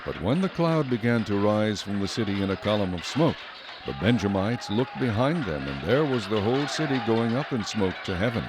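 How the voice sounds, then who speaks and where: Low-voiced male Bible narrator over background ambience. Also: expressive, noisy background. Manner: solemn, measured storytelling. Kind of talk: scripted dramatized Bible narration.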